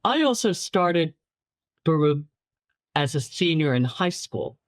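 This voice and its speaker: A woman's voice: sits low, sing-song, slow, dry recording, clean studio sound. A woman from New York City whose first language was Chinese, telling stories in an interview and repeating words for emphasis. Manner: warm storyteller, repeats words for emphasis, laughs lightly. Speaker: guest filmmaker. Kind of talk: casual interview talk.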